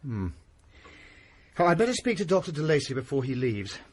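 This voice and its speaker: A young actor playing an earnest, anxious nephew in a radio drama, urgent and sometimes sharp. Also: male voice, fairly high pitch, big pitch swings, fairly quick, slightly rough. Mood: concerned.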